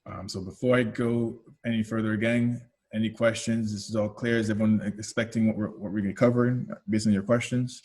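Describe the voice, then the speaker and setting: A man's voice: on the low side, little pitch movement, a little fast, hardly any pauses, a little mellow. A man with a Canadian accent presenting a product webinar as a technical lead, explaining step by step with frequent fillers and self-corrections.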